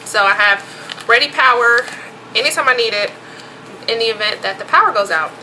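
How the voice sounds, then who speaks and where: A woman with a fairly high, bright voice chats informally through a product show-and-tell. Her delivery is conversational and full of asides. Faint background noise sits behind her. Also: moderate pace, poor audio. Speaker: vlogger presenting emergency gear.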